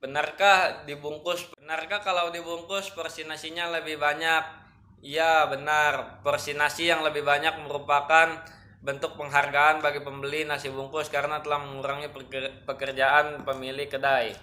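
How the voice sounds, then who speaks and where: A young man in his late teens reads out a school assignment. His voice sits a little high and has a bright, forceful edge. The intonation stays very flat, giving a stiff, recited student delivery. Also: moderate pace. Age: late teens, young adult. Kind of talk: reading a school report aloud.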